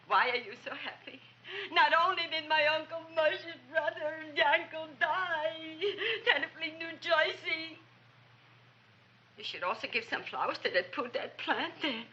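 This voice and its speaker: An actress playing a mournful, complaining shop customer in an old comedy film, kvetching. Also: female voice, fairly high pitch, lively intonation, moderate pace, pushed and bright. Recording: dull, faintly noisy old film soundtrack.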